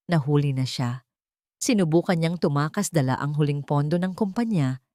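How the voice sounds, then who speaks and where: A woman's voice: low-pitched, darkish tone, no echo, clean studio sound. A woman storyteller reading a scripted, dramatic first-person narration.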